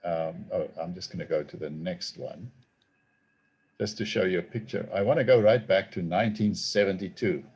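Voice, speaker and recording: Middle-aged South African male academic; dark, gravelly voice, thoughtful and measured, speaking over a video call. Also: deep voice. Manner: measured, thoughtful, with frequent ums. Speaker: theology professor and author. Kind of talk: online book talk.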